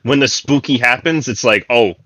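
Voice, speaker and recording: A man co-hosting a casual podcast, talking in an animated, incredulous and sweary way. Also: mid-range voice, moderate pace. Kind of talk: casual group chat.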